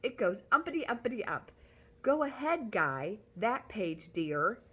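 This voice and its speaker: A woman voicing a brittle wife who coaxes in a falsely bright, chattering way. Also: on the high side, very animated, unhurried, slightly rough, pitched above the performer's own voice, exaggerated voice. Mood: forced cheer. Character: brittle, chattering wife covering up with forced cheer.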